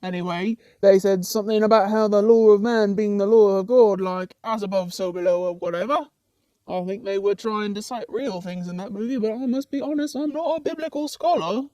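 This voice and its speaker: A woman's voice: medium pitch, brisk, dark tone, no room sound, lightly acted. A woman playing a laid-back, chatty ordinary demon in a comic puppet show, with a casual, rambling, teasing way of talking. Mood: wry, rambling.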